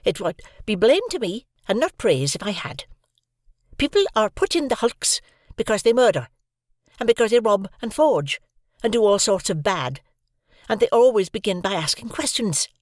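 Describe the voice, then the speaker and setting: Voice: mid-range voice, very animated, a little slow, no echo, clean studio sound, voice pushed up. A reader putting on the voice of a harsh, snappish older sister who scolds a boy in an audiobook. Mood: scolding. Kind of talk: audiobook character voice.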